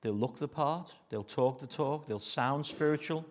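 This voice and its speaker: Man, Liverpool accent, middle-aged, preacher, sermon, jokey asides, earnest, sinks to a hush. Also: medium pitch, normal speed. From Liverpool, England.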